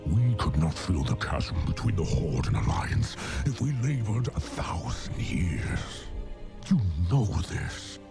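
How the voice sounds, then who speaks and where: An actor playing a weary, grizzled old orc warrior, bitter and solemn, with a gravelly growl in a game cinematic. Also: male voice, deep voice, big pitch swings, slow pace, heavily acted. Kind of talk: dramatic game cinematic acting. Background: cinematic music.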